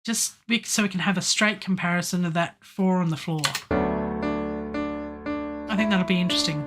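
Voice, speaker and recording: A middle-aged woman with a hoarse, sore throat, thinking aloud as she works on a song at home, with lots of ums and pauses. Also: lowish voice. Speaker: music producer streaming a songwriting session. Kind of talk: casual live-stream talk while working. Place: home studio, indoors. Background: faint music playback from the session.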